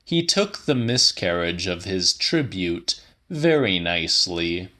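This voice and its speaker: A man narrating a literary audiobook in a dramatic, theatrical, deliberate manner. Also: sits low, big pitch swings, pushed and bright.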